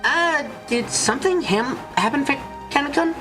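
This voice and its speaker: A performer voicing a flustered, earnest teenage rich girl in an amateur anime-style dub, with halting, stammering delivery. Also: high voice, expressive, voice pushed up. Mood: concerned. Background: music.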